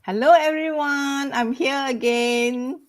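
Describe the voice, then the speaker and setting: A woman from Malaysia, an entrepreneur and mentor, giving a slow personal talk in an online group. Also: sits high, fairly even intonation, no room sound.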